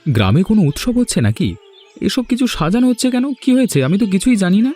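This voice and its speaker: A man's voice: high voice, wildly swooping pitch, quick pace, mellow, soft background music, exaggerated voice. A man playing a cheerful, devoted young student son in a cartoon, eager and affectionate. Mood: curious. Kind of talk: Bengali fairy tale cartoon dubbing.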